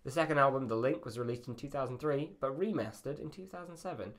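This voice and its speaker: A man's voice: mid-range voice, quick pace. A man hosting a podcast in a casual, rambling and self-deprecating way. His voice sounds slightly feminine. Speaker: podcast host. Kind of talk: casual chat.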